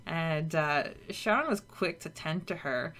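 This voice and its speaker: A woman with a slightly low voice co-hosts a podcast in a loose, conversational way. She recaps a story informally and slips into imagined lines as she goes. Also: animated, a little slow, a bit bright. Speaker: podcast co-host. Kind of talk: casual chat, recapping and discussing a story.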